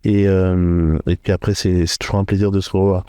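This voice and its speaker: A middle-aged man from northern France answering interview questions, thoughtful and hesitant, with frequent filler pauses. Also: low voice, flat delivery, warm tone, smooth, dry recording, clean studio sound. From France, Hauts-de-France. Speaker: podcast guest, entrepreneur. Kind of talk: interview conversation.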